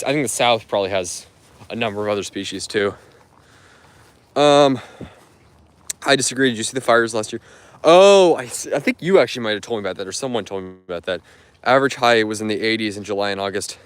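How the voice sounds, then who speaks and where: A man with a lowish voice talks quickly and loosely, answering his viewers as he strolls through a garden. His speech is friendly and rambling, full of "um" and "you know" and false starts. The sound is a phone on a live stream outdoors. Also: fairly bright tone, clear, resonant tone. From United States. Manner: rambling, friendly, many fillers and restarts. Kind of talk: casual live-stream chat while walking.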